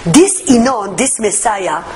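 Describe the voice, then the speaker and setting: A woman with an Israeli accent, a native Hebrew speaker, giving an earnest personal faith testimony in halting English. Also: medium pitch, lively intonation, a little mellow, slight background noise. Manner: earnest, searching for words in English, emphatic.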